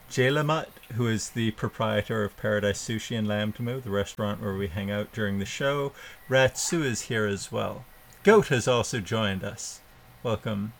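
Chatty, self-mocking man hosting, lowish voice. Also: unhurried, vocal fry. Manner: chatty and self-deprecating, with laughs and filler. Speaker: podcast host. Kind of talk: casual live show chat.

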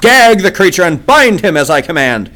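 A man's voice: high voice, big pitch swings, moderate pace, sharp tone, voice pushed up, exaggerated voice. A man voicing a cruel, enraged warlord who shrieks his commands in an audiobook. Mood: raging.

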